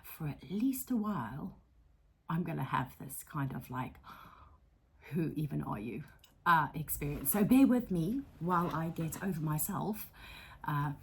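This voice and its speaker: Middle-aged South African woman host, slow and low-pitched, breathy, chatty and self-deprecating. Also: a little hiss or hum. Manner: chatty, self-deprecating, frequent fillers and soft whispery asides. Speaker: psychotherapist and channel host. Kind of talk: casual talking-to-camera vlog. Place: her counselling room.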